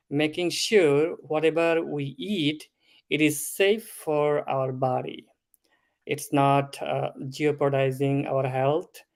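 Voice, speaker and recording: Man in his 30s from Bangladesh, consultant guest, slow careful explainer. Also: a little high. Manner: slow, measured, explanatory with filler ums. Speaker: food safety consultant, guest. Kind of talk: interview answers.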